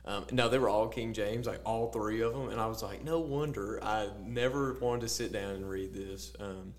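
A man co-hosting a faith podcast, telling stories in a casual, animated way with many fillers. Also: on the low side, normal speed, a little hiss or hum. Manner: storytelling, animated quotes, filler-heavy. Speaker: podcast co-host.

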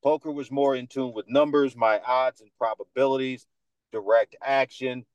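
A man in his thirties with a Dominican American accent, speaking as a podcast guest in a confident, animated way. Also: mid-range voice, normal speed, clean tone, no echo, highs cut off. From United States, New York. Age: 30s. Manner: confident, animated storyteller who acts out other people's lines. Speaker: guest, sports radio host. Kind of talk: casual podcast interview.

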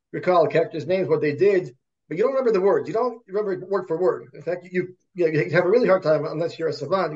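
Gravelly Russian-born man, halting academic talk. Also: fairly high pitch, dull audio. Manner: halting, repeats words and restarts often. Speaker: podcast guest, computer science professor. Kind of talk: conversational interview answers.

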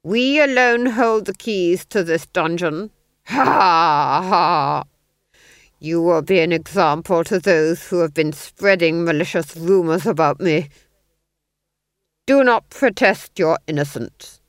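A woman's voice: lowish voice, takes it slowly, dry recording, clean studio sound. A woman voicing a pompous, gloating and unhinged Duke with grandiose, theatrical phrasing, in a fantasy audiobook.

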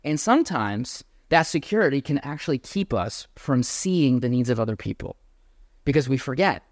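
A man's voice: mid-range voice, big pitch swings, normal speed, no echo, clean studio sound. A man preaching an earnest, emphatic sermon and speaking directly to his congregation. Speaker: pastor.

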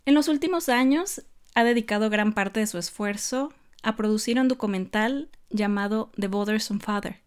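A woman hosting a podcast, reading a scripted introduction and then welcoming her guest in a measured way. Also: medium pitch, clean tone. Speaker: podcast host.

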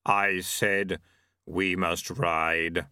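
Man voicing slow, solemn Death himself. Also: low-pitched, flat delivery, pushed and bright, clear, resonant tone, dry recording, clean studio sound, pitched below the performer's own voice, heavily acted. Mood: solemn, insistent. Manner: slow, weighty, formal. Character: Death, solemn, commanding horseman of the apocalypse.

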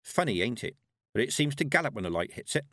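A man's voice: mid-range voice, very animated, quick pace, a bit bright, dry recording, studio quality, voice pushed up. A man voicing a proud old female witch, with a curt, haughty manner and rustic turns of phrase, in a storybook reading. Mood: musing.

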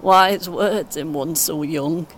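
An actress playing a polite, patient older British grandmother in an audio play, giving short, gently encouraging replies. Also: slow. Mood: politely encouraging. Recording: faint vehicle noise behind. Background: vehicle rumble, coach ambience.

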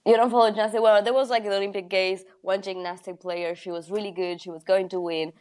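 A woman's voice: mid-range voice, level tone, fast, a little mellow. A woman in casual conversation who talks bluntly, swears freely, stops to search for words and laughs through her stories. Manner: laughs while telling stories. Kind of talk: casual chat.

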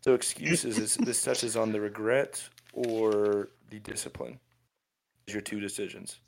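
A young man in his twenties rants through motivational advice on a laid-back podcast. His voice sits low and carries a gravelly rasp. He rambles and restarts his sentences as he talks. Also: fairly even intonation, a little slow, slight background noise, low-quality recording. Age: 20s. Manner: rambling, ranting, with frequent restarts. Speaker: podcast co-host. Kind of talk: casual motivational podcast chat.

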